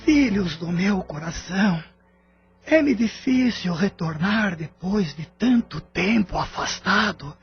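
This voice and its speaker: A man's voice: sits high, vocal fry, breathy edge, full character voice. A man with a Brazilian accent voicing the emotional spirit of a loving old father, speaking tearfully in an audio drama. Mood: moved, grateful. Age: older. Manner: slow, tearful, heartfelt delivery. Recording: slightly muffled, music behind.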